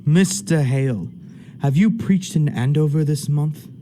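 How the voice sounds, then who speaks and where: A man's voice: on the high side, measured pace, dark tone, slight background noise, close to an everyday voice. A man playing a stern, imperious judge in a radio play, speaking in formal, archaic period language with command. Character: stern, unbending male judge, imperious and impatient. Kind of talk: period stage drama acting in a radio play.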